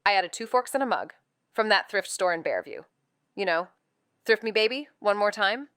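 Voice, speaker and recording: An actress playing a sarcastic, self-deprecating, sweary woman sleuth in a naturalistic audio drama, with casual deadpan delivery. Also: medium pitch, moderate pace, clean tone, dry recording, clean studio sound, lightly acted. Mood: wry, awkward.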